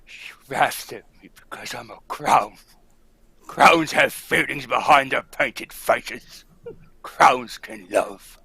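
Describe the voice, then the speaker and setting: An actor playing a bitter, lovesick male circus clown in a 1940s-style radio drama table read, speaking in a brooding, low manner. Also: slow, gravelly, a crowd in the background.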